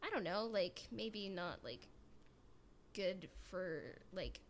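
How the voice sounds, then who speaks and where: A woman's voice: on the low side, lively intonation, slow, a little hiss or hum. A woman hosting a casual podcast chat, rambling and peppering her talk with "like" and "you know". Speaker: podcast host.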